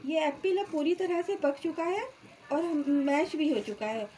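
A woman's voice: high voice, animated, unhurried, mellow. A woman hosting a cooking channel, explaining each step of a home recipe plainly and calling her viewers friends. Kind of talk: step-by-step cooking demonstration voice-over. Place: home kitchen.

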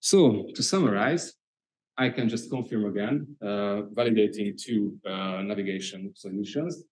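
Hungarian-accented male presenter, slightly low voice, hesitant delivery full of fillers. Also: a little mellow, low-quality recording. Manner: halting, many filler sounds. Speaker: conference speaker. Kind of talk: conference presentation. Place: conference hall.

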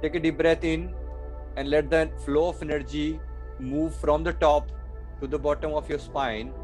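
A man's voice: fairly high pitch, flat delivery, normal speed, a little mellow, soft background music. A man giving guided breathing meditation instructions, repeating short phrases as he goes.

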